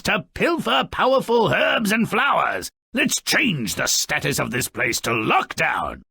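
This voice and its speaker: A malicious little dwarf-like grouch from a fairy tale video game. His voice is raspy and fairly high for a man, with a sharp, forceful edge. He mocks everyone in slow, theatrical, sneering storytelling, full of impatient scorn. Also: no room sound, clean studio sound, exaggerated voice. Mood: sardonic storytelling, then wicked glee. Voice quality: raspy, gravelly. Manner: theatrical, sneering, mocking, ornate vocabulary. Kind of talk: video game character narrating dark fairy tales.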